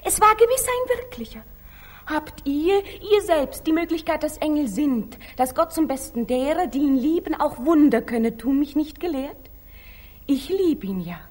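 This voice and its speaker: A dreamy, devout young daughter in a 1940s German radio play, with a very high voice. She speaks in breathy, rapturous stage declamation on an aged recording. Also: lively intonation, measured pace. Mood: pleading, fervent.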